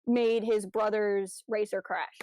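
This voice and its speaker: A woman's voice: mid-range voice, steady tone, slow, a little mellow, smooth. A woman co-hosting a podcast in casual fan discussion, phrasing hesitantly with lots of "like". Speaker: podcast co-host.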